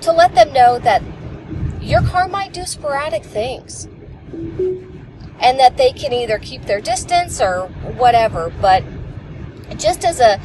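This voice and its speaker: Woman passenger, 40s, lively rambling chatter, a bit high, road noise in the car; dashboard camera. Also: slow pace, long pauses. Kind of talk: casual chat. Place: inside a moving car.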